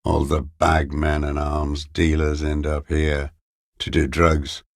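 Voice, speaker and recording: A man playing a bitter, hateful old communist deserter in a video game, brooding and contemptuous, with a gravelly, raspy voice and halting delivery. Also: extremely low pitch, completely flat delivery, dry recording, studio quality, full character voice. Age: older. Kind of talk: video game character monologue.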